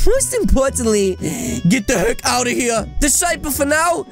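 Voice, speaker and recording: A man's voice: very high voice, animated, moderate pace, creaky, fairly bright tone, faint music behind. A man in his twenties doing playful, exclamatory game commentary while role-playing as a naive kid. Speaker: gaming video host. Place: small home gaming room.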